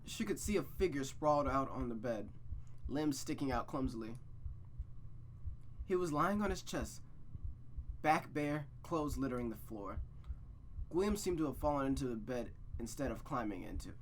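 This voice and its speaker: A man's voice: mid-range voice, animated, moderate pace, long pauses, slight background noise, poor audio. A man reading a visual novel aloud in a suspenseful storytelling style, with playful, casual asides.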